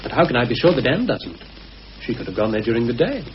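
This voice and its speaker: Actor as anxious British conspirator, muffled old radio. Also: male voice, mid-range voice, very animated, fast talker, a little hiss or hum, lightly acted. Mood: grave, suspicious. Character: anxious, cautious male conspirator confiding a grave suspicion. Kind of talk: radio play acting.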